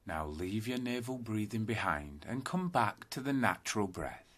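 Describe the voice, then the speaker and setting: A man guiding a yoga nidra meditation in calm, deliberate, instructional phrases with space between them. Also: lowish voice. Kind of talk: guided meditation narration.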